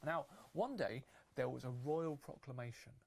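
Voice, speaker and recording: A woman with an unusually deep, creaky voice narrates a fairytale for children. She is agreeable and a little halting, making the story up as she goes. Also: a little mellow.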